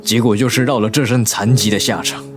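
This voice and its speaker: Man acting a worn regretful dad, deep voice, slow n heavy, radio drama w/ loud music behind. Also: fairly even intonation, close to an everyday voice. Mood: bitter regret. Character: regretful ailing middle-aged father, former gambler.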